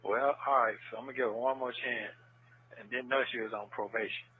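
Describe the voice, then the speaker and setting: A man's voice: medium pitch, fairly even intonation, a little fast, breathy edge. A man chatting casually on a recorded jail phone call, slangy and repeating himself. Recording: noisy, tinny recorded jail phone line.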